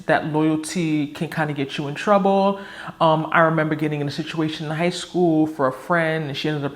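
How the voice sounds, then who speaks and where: A woman in her early thirties talking frankly to camera in a casual personal vlog, reflective and candid. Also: low voice. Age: 30s. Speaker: vlogger.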